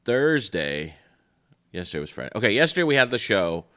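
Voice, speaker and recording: A man co-hosting a podcast in casual, chatty talk, his voice slightly shot and hoarse. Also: fairly low pitch, very animated, a little fast, fairly bright tone. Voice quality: slightly worn, tired-sounding voice. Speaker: podcast co-host.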